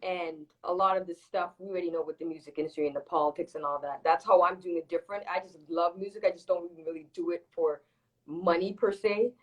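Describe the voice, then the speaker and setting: A woman from Toronto speaks in a slightly low voice as an interview guest. She talks casually and candidly, restating her points for emphasis. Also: fairly even intonation, quick pace, rough recording. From Toronto, Canada. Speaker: singer-songwriter guest being interviewed.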